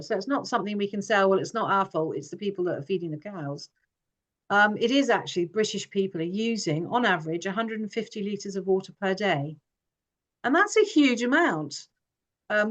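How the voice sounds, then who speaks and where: Woman raised in Hong Kong, forum speaker, earnest and halting, mid-pitched voice. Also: a bit bright. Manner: earnest, many restarts and fillers. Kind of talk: informal presentation.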